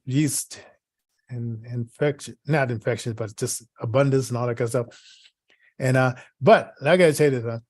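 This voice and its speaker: A man co-hosting in casual, rambling chat, halting as he searches for words and repeats himself. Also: medium pitch, a little mellow, dry recording. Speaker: podcast co-host.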